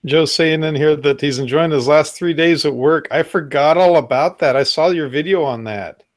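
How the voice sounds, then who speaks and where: A man's voice: fairly high pitch, moderate pace. A man hosting a casual talk show, asking curious questions and reading viewer comments. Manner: curious, asks questions, reads chat comments. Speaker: host. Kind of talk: casual chat.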